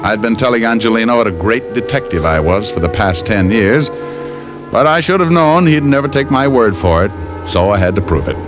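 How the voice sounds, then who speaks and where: An actor playing a wry, self-assured American private detective in an old radio drama, breezy and teasing. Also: male voice, fairly low pitch, expressive, fairly quick, over music, lightly acted. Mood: wry narrating. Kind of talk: 1940s-50s radio drama, first-person narration and dialogue. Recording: old-time radio recording.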